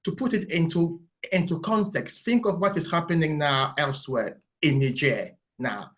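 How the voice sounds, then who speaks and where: A man's voice: fairly high pitch, moderate pace. Man from Cameroon, hesitant on a call. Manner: thoughtful, hesitant, restarts words. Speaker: webinar participant. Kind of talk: online discussion contribution. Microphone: video call.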